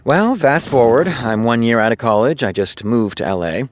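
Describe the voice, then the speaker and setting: A man playing an easygoing, earnest TV showrunner in an audio drama, confiding in casual storytelling with dramatic pauses. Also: mid-range voice, lively intonation, a little hiss or hum, close to an everyday voice. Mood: earnest, nostalgic. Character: easygoing male TV showrunner, chatty earnest raconteur. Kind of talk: audio drama acting, naturalistic dialogue.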